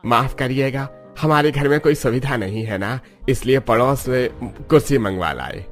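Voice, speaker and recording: A man plays a humble, apologetic village father in a family cartoon. The pitch is moderate, lifted above a deep narrator's register, with music underneath. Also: moderate pace, natural voice.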